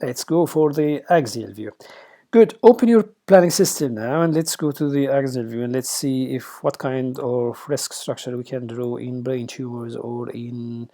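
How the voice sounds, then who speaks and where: Hesitant man lecturing, mellow voice. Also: medium pitch. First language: Arabic. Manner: hesitant, many fillers and restarts. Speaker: radiation oncology lecturer. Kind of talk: informal teaching lecture.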